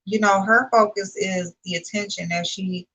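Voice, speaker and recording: Bright, forceful woman, brash gossip co-host. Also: medium pitch, moderate pace, slightly rough, low-quality recording. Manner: brash, animated, fills with "like" and "listen". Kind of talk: casual gossip chat.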